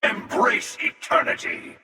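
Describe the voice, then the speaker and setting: An actor playing a cynical, taunting, world-weary interdimensional hunter in a video game, with a gravelly, processed, otherworldly voice. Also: male voice, slow pace, bright, forceful tone. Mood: smug, taunting. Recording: cavernous echo, distant-sounding processed voice.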